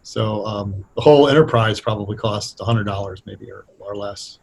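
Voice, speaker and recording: A middle-aged or older American man explaining things conversationally as an interview guest, thoughtful and halting as he picks his words. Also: lowish voice, gravelly, a little mellow, husky. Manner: hesitant, thoughtful, halting delivery. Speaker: interview guest, radio playwright.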